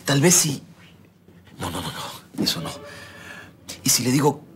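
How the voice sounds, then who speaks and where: A man's voice: mid-range voice, very animated, measured pace, fairly bright tone, a little hiss or hum. An actor with a Peruvian accent playing a timid, anxious middle-aged father in a sitcom. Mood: worried. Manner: nervous, fretting to himself. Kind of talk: sitcom acting. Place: indoor set.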